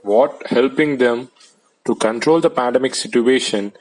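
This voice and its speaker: A man, reading out translated debate speeches in a plain, measured way. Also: medium pitch, darkish tone, poor audio.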